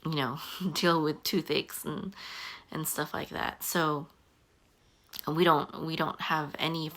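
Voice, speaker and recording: Low-voiced woman in her forties, halting. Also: steady tone, fairly bright tone. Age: 40s. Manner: halting, many ums and restarts. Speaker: online business coach. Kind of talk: casual talking-head advice.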